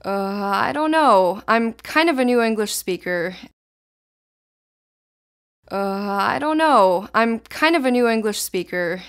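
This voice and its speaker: A teenage girl from Israel whose first language is Hebrew, answering interview questions in long, flowing sentences. Her voice is smooth and clear, and her pitch sits in an ordinary middle range. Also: expressive, takes it slowly. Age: teens.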